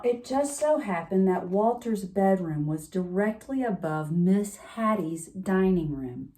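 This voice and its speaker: A woman's voice: medium pitch, warm tone, rough recording. A woman storyteller reading a children's picture book aloud at bedtime, expressively, with chatty asides.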